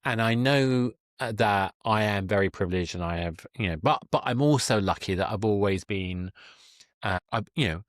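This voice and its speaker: A man's voice: lowish voice, moderate pace, dry recording, clean studio sound. A man hosting a conversational interview, thinking aloud in a reflective, hesitant way and often qualifying his own words. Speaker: podcast host.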